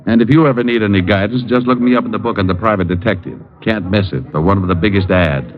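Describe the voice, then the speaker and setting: A man's voice: fairly low pitch, natural voice. A man playing a cocky wisecracking private eye. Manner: dry, teasing and unhurried. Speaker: private detective narrator. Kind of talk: 1950s radio drama acting. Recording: muffled old radio broadcast.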